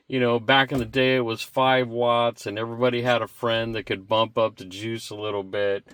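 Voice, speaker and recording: A man's voice: medium pitch, dry recording, clean studio sound. An American man in his sixties giving a casual, unscripted product review in a rambling, folksy, plain-spoken way. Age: 60s.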